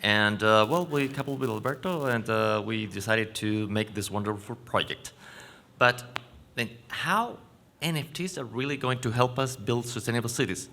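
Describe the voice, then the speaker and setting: Conference speaker, male, Chilean Spanish accent, bright forceful voice, explanatory and halting delivery. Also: medium pitch, vocal fry, slight background noise. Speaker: panel speaker. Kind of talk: conference presentation. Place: conference venue stage.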